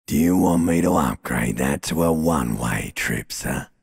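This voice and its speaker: A man voicing an ancient reptilian brain in a video game, a seductive, menacing, world-weary inner voice with a guttural rasp and sardonic crooning. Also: rumbling low, lively intonation, exaggerated voice. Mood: dismissive, mocking. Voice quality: gravelly, raspy, guttural croak. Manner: slow, drawn-out, mock-soothing, sardonic crooning. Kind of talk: theatrical video game voice acting.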